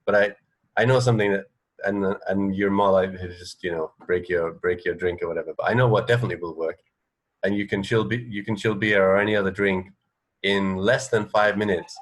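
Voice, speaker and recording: Low-voiced man chatting casually. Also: fairly even intonation, fairly quick. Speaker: radio co-host. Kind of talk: casual chat. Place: indoor room at home, on a video call. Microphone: video call.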